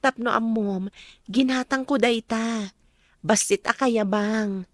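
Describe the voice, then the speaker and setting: A woman's voice: a little high, slow, no room sound, studio quality. A woman playing a meek, mistreated young woman in a radio drama, plaintive and obedient, with an Ilocano Filipino accent. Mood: gentle, weary.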